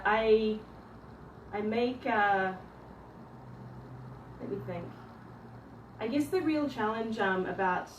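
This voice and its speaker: A woman from New Zealand, an art teacher, chatting casually through a livestream tour of her studio, often pausing with ums and restarting her sentences. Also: medium pitch, slight background noise. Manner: hesitant, many ums and restarts. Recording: echoey room, voice from a distance.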